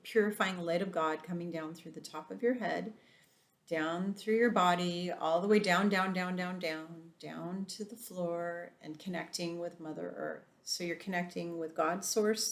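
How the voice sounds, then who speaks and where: A woman leading a guided meditation in a softened, soothing voice, drawing out slow breathing instructions. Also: on the low side, creaky, reverberant.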